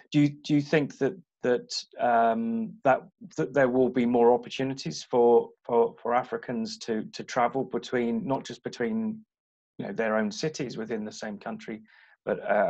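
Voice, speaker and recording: A man's voice: mid-range voice, little pitch movement, measured pace, darkish tone. A man hosting a panel discussion, who talks hesitantly with many ums and repeated words. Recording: muffled online call audio.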